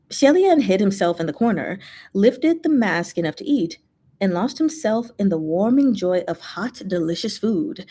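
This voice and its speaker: A woman reading fiction aloud as narrator, in an expressive storytelling style. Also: mid-range voice. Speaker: fanfiction reader, channel host.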